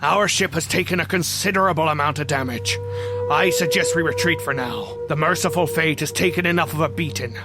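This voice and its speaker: An earnest young pirate crewman, played by a man, speaks in a fairly high voice over clear music. He is polite and deferential, pleading his case in audio drama style. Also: a little fast, lightly acted. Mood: earnest, reasoning.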